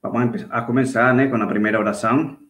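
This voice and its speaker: A man from Venezuela with a Venezuelan Spanish accent, a native Spanish speaker, teaching an online church class in a halting way, with restarts and checks for agreement. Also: medium pitch, fairly even intonation, a bit bright, echoey room. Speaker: Sunday school teacher. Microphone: video call.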